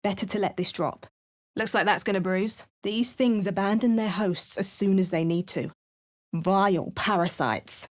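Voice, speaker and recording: A young woman voicing a game character with wry, measured first-person narration. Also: medium pitch.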